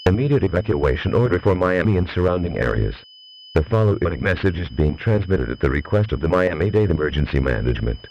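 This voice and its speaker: A man's voice: low voice, highs cut off. A man reading a scripted government emergency alert, formal and impersonal. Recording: very noisy alert broadcast.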